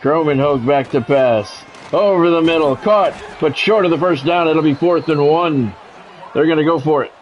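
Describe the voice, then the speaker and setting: A man's voice: on the high side, moderate pace, highs cut off, some chatter behind, slight background noise. A man doing play-by-play of his own football video game in short, blunt phrases, mixed with casual gamer talk.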